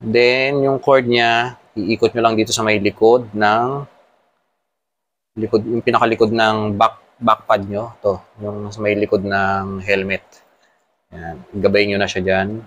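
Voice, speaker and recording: A man's voice: on the low side, little pitch movement, unhurried, fairly bright tone. A man with a Filipino accent giving a casual hands-on tutorial as a motovlogger, explaining step by step. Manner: explanatory, mixing Tagalog with English terms.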